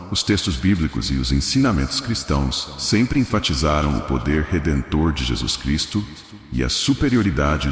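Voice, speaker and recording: A man with a Brazilian accent narrates a scripted religious story in a solemn, dramatic storytelling manner. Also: extremely low pitch. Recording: echoey voice with long reverb.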